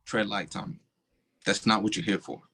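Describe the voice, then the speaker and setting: Guy reading a shaken cop, terse and low, a bit husky. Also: steady tone, moderate pace, pushed and bright. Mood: subdued. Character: shaken young male police officer, grieving. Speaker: actor in a staged play reading. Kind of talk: stage play reading.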